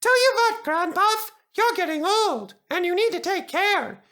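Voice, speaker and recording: A man's voice: very high voice, animated, unhurried, sharp tone, pitched above the performer's own voice, full character voice. A man voicing a cheeky young male little steam engine with a pert, teasing manner.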